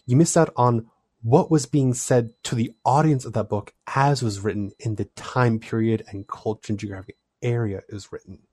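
A man's voice: mid-range voice, no room sound. Thoughtful man explaining in a dry close sound. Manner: thoughtful, explanatory, animated delivery. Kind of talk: casual discussion.

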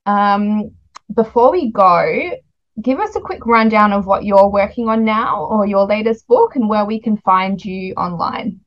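A woman's voice: mid-range voice, measured pace. Slightly dull-sounding woman, chatty host. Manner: chatty, informal. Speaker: podcast host and children's author. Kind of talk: casual book podcast interview.

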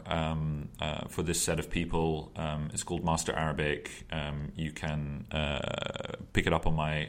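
A man's voice: very deep voice, fairly bright tone. A man hosting a casual conversation, talking loosely with lots of ums and hesitations. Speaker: podcast host.

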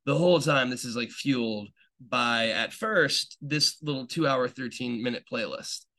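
A young adult man talks in an easy, rambling way, piling up fillers and circling back on his own sentences. His voice sits at an ordinary middle pitch for a man. He sounds like an eager fan thinking out loud as he hosts a casual podcast update. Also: measured pace. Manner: chatty, rambling, many fillers and restarts; enthusiastic fan talk. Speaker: podcast host.

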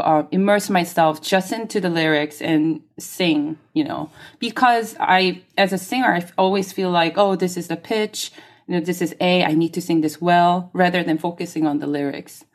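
A woman's voice: lowish voice, steady tone, moderate pace. A woman who is a singer and a native Korean speaker, explaining her craft thoughtfully in an interview, with frequent ums. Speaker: guest singer. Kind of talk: interview conversation.

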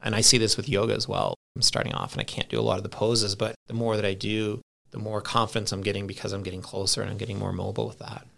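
A man's voice: fairly low pitch, level tone, quick pace, vocal fry, few pauses, fairly bright tone. A man in his thirties chatting casually in an anecdotal, self-deprecating way. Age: 30s. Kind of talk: casual chat.

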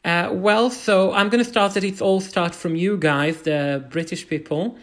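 An Israeli man speaks English with the accent of a native Hebrew speaker. As a podcast guest he explains things informally, in halting, hesitant phrases. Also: sits high, fairly even intonation.

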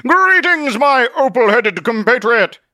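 A man's voice: extremely high pitch, sing-song, moderate pace, bright, forceful tone, no room sound, studio quality, pitched above the performer's own voice, heavily acted. A man voicing a reckless, cheerfully boastful male adventurer in an audiobook, with theatrical, grandiose delivery. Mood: jaunty, pompous. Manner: theatrical, grandiose, florid phrasing. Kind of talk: audiobook character voice.

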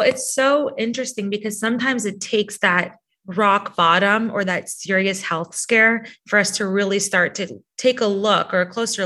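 A woman narrating a scripted podcast introduction in a measured way. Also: mid-range voice, sharp tone. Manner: measured, reading a guest introduction.

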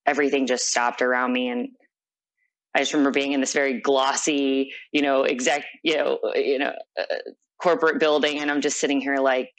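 A woman's voice: a bass voice, little pitch movement, brisk, poor audio. A young adult woman being interviewed, telling a personal story in an earnest, reflective way. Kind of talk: personal account in conversation.

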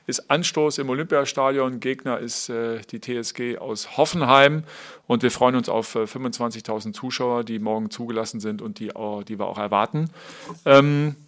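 A man's voice: medium pitch, a bit bright. Man moderating a press conference. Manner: formal, announcing speakers, many "ähm" fillers.

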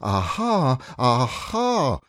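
A man voicing a slow, humble, simple-minded male bear who speaks in a mild, earnest way in an audiobook reading. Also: medium pitch, huge pitch swings, fairly bright tone, no echo, studio quality, voice pushed up, full character voice.

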